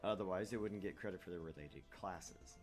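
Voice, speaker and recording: A man's voice: sits low, fairly quick, darkish tone, soft background music. A man hosting a gaming show, reading a visual novel aloud and commenting casually.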